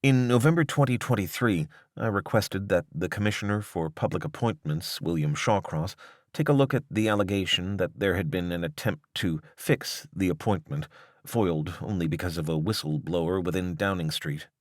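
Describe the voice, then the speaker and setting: A man reading a long-form news article as scripted narration, in a measured, articulate and formal way. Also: low voice, dry recording, studio quality.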